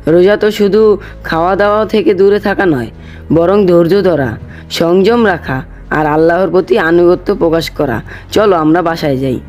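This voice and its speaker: Pious cartoon boy, voiced by a man, high male pitch, earnest, patient, instructive, faint music underneath. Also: moderate pace, a little mellow, muffled recording, lightly acted. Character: pious, patient boy advising his friend. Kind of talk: moral cartoon dialogue.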